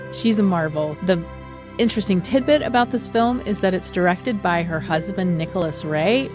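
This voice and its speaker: A woman hosting a podcast, talking about films in a conversational, emphatic way. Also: on the low side. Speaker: podcast host. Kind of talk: film discussion and recommendations.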